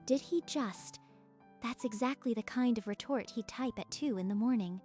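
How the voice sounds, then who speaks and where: A woman's voice: on the high side, moderate pace, a little mellow, faint music behind, natural voice. An actress playing a proud, icy young woman who hides tender feelings, in a manga dub. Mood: intrigued. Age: young adult.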